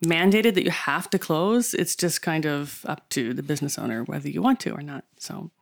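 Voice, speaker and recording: A woman's voice: sits low, moderate pace, vocal fry. A woman with an American accent co-hosting a podcast in casual, unscripted chat. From United States. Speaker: podcast co-host. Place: small makeshift studio behind a cafe.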